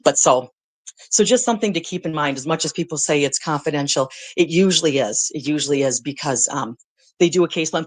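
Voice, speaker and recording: An American speaker explaining things informally as a guest, in a rambling, conversational and blunt way. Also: mid-range voice. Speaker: guest, former social services worker.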